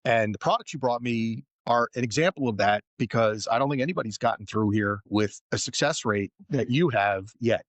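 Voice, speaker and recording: A middle-pitched man hosts a casual podcast chat with an upbeat, complimentary manner. His voice is recorded close and dry, with no room sound. He asks questions and riffs warmly on the guest's answers. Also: normal speed, hardly any pauses, no room sound, muffled recording. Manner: friendly, enthusiastic, complimentary. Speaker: podcast host.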